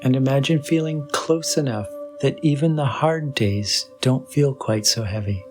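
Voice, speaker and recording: Male meditation guide, very slow pace, soothing and measured, long pauses, music playing underneath. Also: mid-range voice, darkish tone. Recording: voice over background music with singing bowl.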